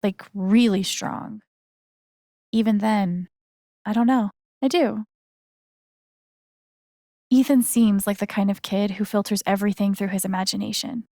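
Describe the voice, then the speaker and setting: A woman's voice: mid-range voice, steady tone, measured pace, pauses often, dark tone, no echo, clean studio sound, close to an everyday voice. A woman voice acting an earnest, caring young heroine in a video game, with casual, hesitant, naturalistic delivery. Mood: thoughtful. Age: young adult. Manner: casual, hesitant, conversational phrasing.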